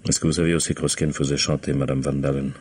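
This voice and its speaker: An actor playing a sardonic, dogged police inspector in a French radio mystery, prodding with irony and drawn-out interjections. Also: male voice, rumbling low, flat delivery, a little mellow, slightly rough. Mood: wry, musing. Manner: ironic, prodding, drawling interjections. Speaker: actor in a radio crime drama.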